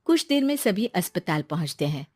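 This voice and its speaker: A woman's voice: on the low side, big pitch swings, fairly quick, a little mellow, no echo, studio quality. A woman reading a scripted story as its narrator.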